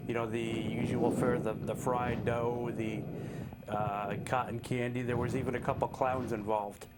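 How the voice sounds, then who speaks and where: A man on a wrestling talk show recalling an event in a halting way, with many restarts. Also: medium pitch, little pitch movement, loud music behind the voice. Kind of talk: casual recollection.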